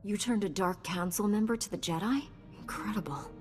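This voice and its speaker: A woman's voice: medium pitch, brisk, a little mellow, close to an everyday voice. A woman playing a composed, dutiful and earnest young Jedi knight in a video game. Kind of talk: scripted video game cutscene acting. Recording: long hall reverb, faint music.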